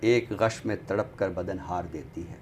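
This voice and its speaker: Low-voiced man reciting Urdu poetry, noisy recording. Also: unhurried. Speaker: guest presenter reciting poetry on a literary show. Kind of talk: dramatic Urdu poetry recitation, then book talk. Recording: clearly noisy background ambience.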